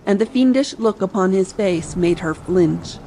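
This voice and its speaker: Gravelly-edged woman narrating an adventure novel. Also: mid-range voice, a little slow, low-quality recording. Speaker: audiobook narrator. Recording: faint ambient noise behind. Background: soft sea ambience.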